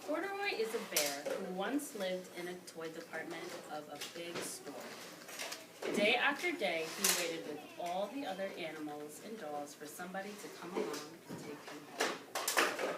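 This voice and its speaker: Female primary teacher for an interactive storytime, expressive intonation, instructive, heard from afar in an echoey, noisy classroom. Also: fairly low pitch, moderate pace. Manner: animated, questioning, instructing. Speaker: teacher of young children. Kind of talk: interactive classroom read-aloud to young children. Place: classroom with children. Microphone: phone camera at a distance. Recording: distant, echoey, very noisy phone recording.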